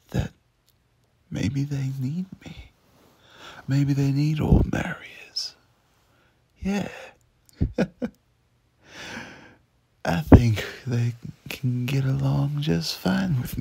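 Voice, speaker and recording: A man plays a brash young demon with a soft heart in an audio roleplay. His voice is husky and dry, stumbling informally over words at an unhurried pace. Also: mid-range voice, dry recording, heavily acted. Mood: tender, musing. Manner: stammering, flustered, informal. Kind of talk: ASMR audio roleplay acting.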